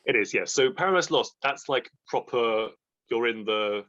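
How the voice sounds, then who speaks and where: A man's voice: mid-range voice, normal speed, muffled recording. A man co-hosting a casual book chat, rambling and joking as he goes. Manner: jokey, rambling, animated. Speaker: booktuber co-host.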